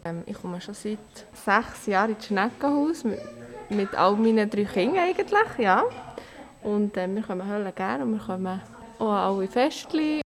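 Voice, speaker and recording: A woman giving relaxed, plain answers in an interview. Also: medium pitch, normal speed.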